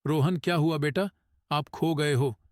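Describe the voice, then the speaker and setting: Male actor for young campus bully, slightly high voice, mocking, menacing, scripted story dialogue. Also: unhurried, dry recording, clean studio sound, close to an everyday voice. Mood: mock concern. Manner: taunting, sneering. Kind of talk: scripted audio story acting.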